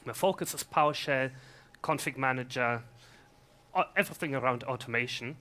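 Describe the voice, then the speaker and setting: A man's voice: medium pitch, moderate pace, sharp tone. A man presenting at a conference, chatty and self-deprecating, asking the audience questions. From Scotland and Germany. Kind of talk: casual conference talk. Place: conference room.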